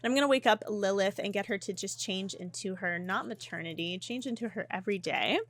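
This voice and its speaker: A woman casually commenting on her gameplay, chatty and animated, with frequent ums and laughs, her voice slightly hoarse. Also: mid-range voice, fairly quick, no room sound. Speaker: gaming YouTuber.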